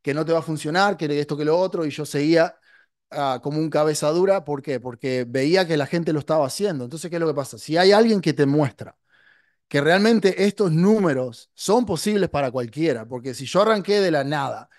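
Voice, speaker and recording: A man's voice: a little high, fairly quick, a little mellow, dry recording. A man in his forties, an online marketing coach, giving a blunt, emphatic motivational talk to camera from a small studio on a podcast mic, dropping in English words. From Latin America. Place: small dark studio, indoors. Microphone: podcast mic on boom arm.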